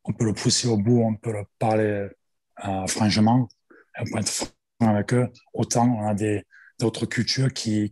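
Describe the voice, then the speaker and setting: A man's voice: lowish voice, darkish tone, breathy edge. A man in his forties with an Australian accent, a strength coach who speaks French hesitantly as a podcast guest, with many fillers and restarts. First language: English. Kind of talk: interview guest.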